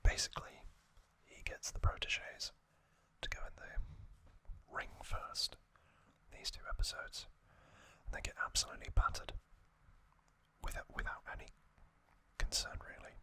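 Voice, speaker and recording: Male ASMR host, breathy whisper throughout, bright and crisp on the sibilants, unhurried, gentle trivia recap. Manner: slow, gentle delivery in short, drawn-out phrases.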